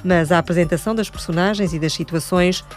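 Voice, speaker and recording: A woman reporter narrates a radio culture piece from a script, her voice sitting lowish and steady. Soft music plays faintly beneath her. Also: quick pace.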